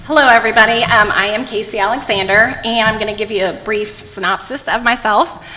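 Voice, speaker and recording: Emphatic woman candidate, bright voice. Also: mid-range voice, fairly quick, in a large hall, rough recording. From Indiana. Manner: personal, emphatic, repeating for effect. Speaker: mayoral candidate. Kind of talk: opening statement at a public forum.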